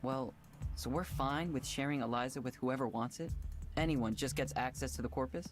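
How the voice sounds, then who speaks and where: A man's voice: medium pitch, normal speed, music in the background, close to an everyday voice. Man acting as an earnest, conflicted young tech lead. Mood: uneasy. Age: young adult. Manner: earnest, hesitant, self-doubting. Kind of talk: naturalistic visual novel dialogue.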